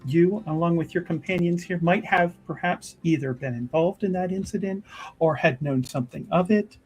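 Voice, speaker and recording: A player voicing a polite, probing church sister in a fantasy tabletop game, with formal, measured, pious phrasing. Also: medium pitch, slightly rough, faint music behind, low-quality recording.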